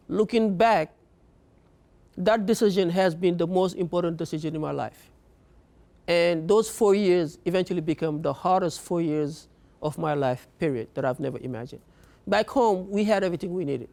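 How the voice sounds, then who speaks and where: A young man from Africa telling his own story in an earnest, halting way, often repeating words as he searches for them. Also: high-pitched, a little mellow. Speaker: speaker. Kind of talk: personal story talk.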